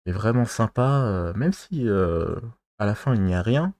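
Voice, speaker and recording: A man from France giving advice in a casual monologue to his viewers, halting and rambling, pausing constantly and losing his thread. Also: on the low side, animated, a little mellow, no room sound. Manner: loses his thread mid-talk. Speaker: vlogger giving dating advice.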